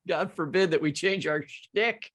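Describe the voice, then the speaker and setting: A man's voice: high voice, fairly bright tone, breathy edge. A middle-aged man hosting a podcast in an informal, joking, conversational way, with plenty of words. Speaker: podcast host.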